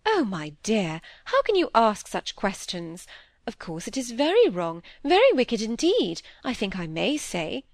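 A woman's voice: on the high side, wildly swooping pitch, unhurried, no room sound, heavily acted. Woman putting on a gushing, affected genteel wife. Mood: flustered, fawning. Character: genteel married woman, gushing, affected, self-pitying, fawning. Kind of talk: audiobook character voice in a period novel.

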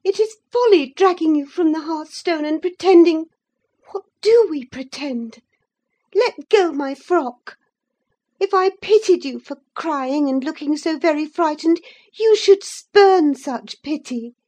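A woman voicing a proud, quick-tempered young gentlewoman who scolds sharply. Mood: furious scolding. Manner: indignant, scolding, high-pitched.

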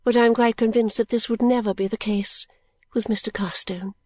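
Stately, opinionated matron in an audiobook, a touch raised and measured, read by a woman. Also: fairly high pitch, fairly even intonation, darkish tone, no echo, studio quality, lightly acted. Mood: lecturing. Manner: stately and self-assured, lecturing. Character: middle-aged woman, proud, opinionated, thrice-married. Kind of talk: audiobook reading.

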